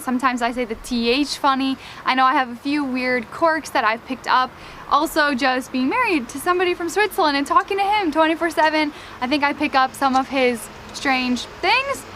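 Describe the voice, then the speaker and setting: Young female vlogger, high voice, light Wisconsin accent, chatty filler-heavy delivery, faint background hiss. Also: fairly bright tone. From United States, Wisconsin. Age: young adult. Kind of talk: casual Q and A chat to camera.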